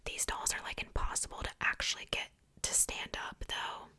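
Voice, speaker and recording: A woman whispering softly in a casual, chatty ASMR style, rambling along with conversational asides. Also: moderate pace. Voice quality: soft unvoiced whisper. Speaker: ASMR video host showing a doll collection.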